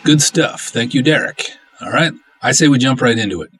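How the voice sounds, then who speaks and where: A man's voice: mid-range voice, expressive, moderate pace, loud music behind the voice. A man hosting a podcast in a casual, informal style, talking straight to his listeners. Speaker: podcast host.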